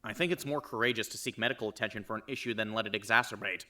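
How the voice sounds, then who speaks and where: A man voicing a calm, sympathetic young man who speaks in a measured way, in a fan audio drama. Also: on the low side. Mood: gentle.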